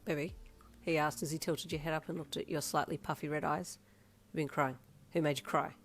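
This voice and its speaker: A woman voicing a protective, teasing young boyfriend in an audio story, speaking lower and quieter. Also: steady tone, a little fast. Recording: faint music behind.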